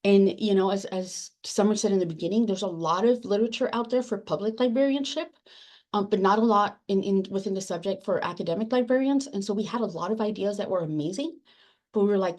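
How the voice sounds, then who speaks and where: A woman whose first language is Spanish speaks earnestly and reflectively on a panel. Her voice is mellow and warm, at an ordinary pitch. She pauses with fillers and restarts her sentences, and she is heard over a video call. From Spanish-speaking country. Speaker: book editor on a panel. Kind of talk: panel discussion at a virtual book launch.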